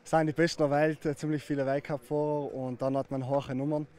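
Male skier, rambly fast talk, a bit high voice, outdoors. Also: level tone, darkish tone. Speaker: athlete.

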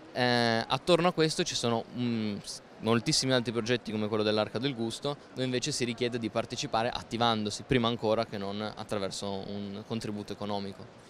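A young man explains a project in an interview, searching a little for words. His pitch is average, and a handheld mic catches him over clear noise at an indoor fair. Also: a bit bright. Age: young adult. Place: busy indoor trade fair stand. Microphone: handheld microphone held by interviewer.

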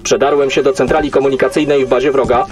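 A man's voice: medium pitch, level tone, fast, close to an everyday voice. A man playing an emotionless military AI, giving clipped, formal reports in a sci-fi audio drama. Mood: cold, detached. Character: cold logical military AI controlling a soldier's body.

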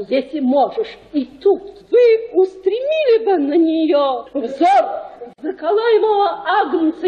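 Scheming provincial matron, gushing and declamatory, very high voice with sweeping intonation, radio play. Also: female voice, dark tone, heavily acted. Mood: dreamy persuasion. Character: scheming, theatrical provincial lady, a manipulative matchmaking mother. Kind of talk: Russian radio play acting. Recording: echoey room, dull muffled sound.

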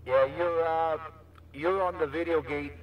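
A man's voice: a little high, steady tone, a little slow, heavy background noise. A man chatting casually as a CB radio operator, with repetitive, slangy patter. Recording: distant CB radio transmission.

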